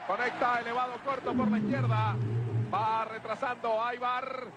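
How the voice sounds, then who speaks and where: A man calling a baseball game live on television, building to shouted excitement, from an archive broadcast. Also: very high voice, moderate pace, very noisy. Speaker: sports commentator. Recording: archive TV broadcast with music behind.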